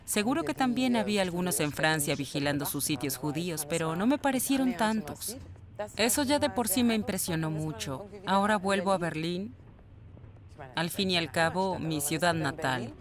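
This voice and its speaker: A woman voicing a traveler's personal reflections for a documentary dub, at times halting. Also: on the low side, expressive, a crowd in the background, lightly acted. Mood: reflective.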